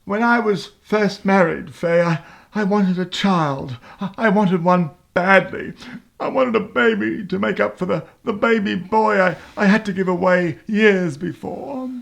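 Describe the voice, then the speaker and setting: A woman plays a long-suffering mother in a comic radio soap. The voice is gravelly and halting, with dry exasperation and a streak of melodrama. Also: medium pitch. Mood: confessional. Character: exasperated, confessing mother of grown children.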